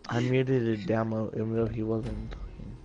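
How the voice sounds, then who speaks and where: A man's voice: on the low side, flat delivery, moderate pace, warm tone, highs cut off, soft background music. A man from Thailand streaming a game, chatting casually and bluntly teasing his friends with casual swearing. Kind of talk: casual gaming chat.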